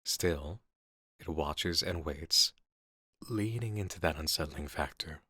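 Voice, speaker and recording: A man playing a calm, calculating game developer with measured, deliberate, articulate delivery in a scripted ASMR horror roleplay. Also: very deep voice, husky, no echo, studio quality, full character voice. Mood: sinister, intrigued. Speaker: voice actor in an audio roleplay.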